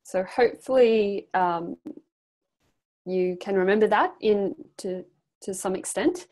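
Young woman on a video call, normal pitch, coaching people thru a practice read, really encouraging. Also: slow, a little mellow. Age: young adult. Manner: encouraging, affirming. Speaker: facilitator leading a group practice exercise. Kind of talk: coaching a group on a video call, reading a prepared pitch aloud. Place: small indoor room, on a group video call. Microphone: computer microphone on a video call.

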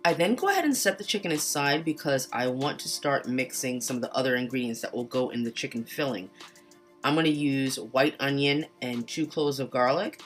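A woman narrating a cooking video in a voice-over, chatty and relaxed, giving casual step-by-step instructions. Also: a bass voice. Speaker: cooking channel host. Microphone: home voice-over mic.

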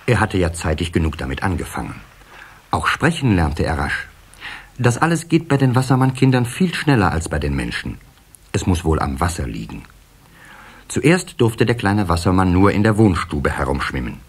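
Ein Mann erzählt als Sprecher eines Kinderhörspiels eine vorbereitete Geschichte, mit rauer Stimme. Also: male voice, sits low, big pitch swings, moderate pace, slightly rough. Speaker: narrator. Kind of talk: scripted children's storytelling.

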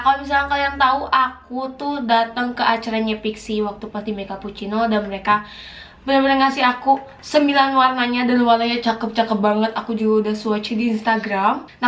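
A young woman with a hoarse voice, chatting casually as a beauty vlogger reviewing products, gushing and full of fillers. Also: a little high, fairly bright tone, roomy sound. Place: small indoor room, plain wall.

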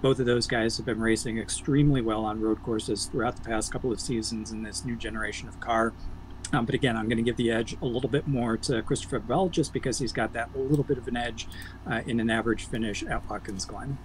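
A man analysing racing for a betting preview over a video call, measured and stat-heavy with the odd um. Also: medium pitch, fairly even intonation, a little hiss or hum, rough recording. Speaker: motorsports analyst guest.

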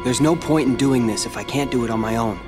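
A man's voice: mid-range voice, fast, lightly acted. An actor playing a brooding, determined teenage boy warrior in a video game, terse, earnest and defiant. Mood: somber, resolute. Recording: long hall reverb, music behind.

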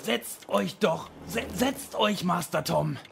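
An actor playing a devoted, flustered old manservant in a radio play, deferential and stammering, with a creaky voice. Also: male voice, high voice, slow, a little hiss or hum, heavily acted. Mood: fussing, attentive.

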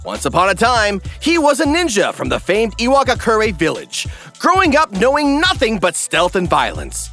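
Male recap narrator, theatrical and sarcastic, lively pitch swings, forceful bright tone. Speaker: narrator, parody recap host. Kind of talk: scripted comedic narration.

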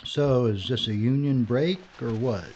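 A laid-back, wry male cameraman, played by an actor, talks in film dialogue. His voice is dark and relaxed, on a slightly dull recording with faint noise. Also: medium pitch, measured pace, lightly acted.

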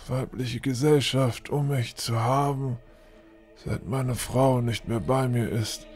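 A man's voice: medium pitch, natural voice. A man acting a pompous, condescending older lord with formal, theatrical courtesy in a fantasy audio drama. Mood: apologetic. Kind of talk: fan-made German fantasy audio drama.

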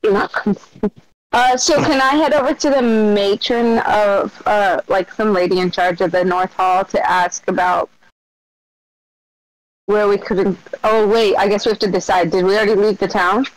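A woman playing in a tabletop game session, chatty and planning out loud. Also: medium pitch, steady tone, normal speed, no echo, rough recording. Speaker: player.

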